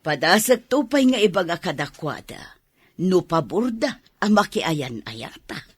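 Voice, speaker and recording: A woman's voice: on the low side, big pitch swings, measured pace, husky, no echo, clean studio sound, heavily acted. An actress with an Ilocano accent playing a bashful middle-aged mother in a radio drama. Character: widowed mother around fifty, bashful about new romance.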